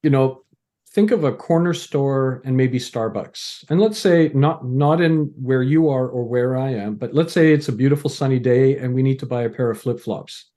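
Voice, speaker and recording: A man's voice: medium pitch, moderate pace, mellow. A middle-aged man from Canada, a podcast guest talking casually, explaining ideas through stories and analogies with frequent restarts. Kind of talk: casual interview conversation.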